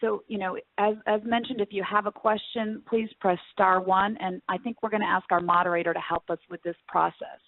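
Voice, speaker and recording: A woman's voice: medium pitch, steady tone, brisk, few pauses. A woman hosting a conference call, polite and a bit hesitant. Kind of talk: hosting, semi-scripted moderation. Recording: dry, muffled phone conference line.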